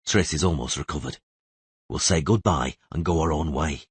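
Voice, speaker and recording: A man's voice: very deep voice, moderate pace, husky, dry recording, full character voice. A man voicing a stern, guarded monster hunter and patient mentor in a fantasy audiobook. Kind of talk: audiobook character voice.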